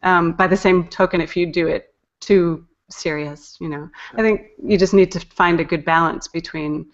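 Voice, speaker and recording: A woman with a fairly low voice speaks as a guest in a casual interview. She feels her way through her thoughts, pausing and restarting. The sound is somewhat dull, like an internet call. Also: steady tone. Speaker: guest, poetry podcast editor and narrator.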